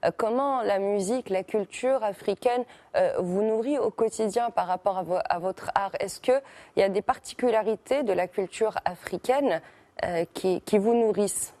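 Mellow-voiced woman radio interviewer. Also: medium pitch, no echo. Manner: slow, hesitant questions. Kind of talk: interview questions.

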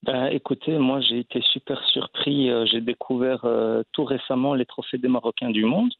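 Gravelly man on a tinny phone line. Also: mid-range voice, steady tone, moderate pace, no echo. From Belgium. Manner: hesitant, many fillers and restarts. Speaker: actor, guest. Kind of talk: casual interview.

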